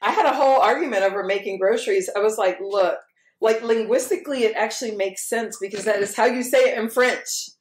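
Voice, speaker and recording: A woman talks in a casual podcast chat, emphatic and animated, laughing easily between points. Her voice sits at an ordinary middle pitch. It rings a little in an echoey room. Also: brisk. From Louisiana. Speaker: podcast host.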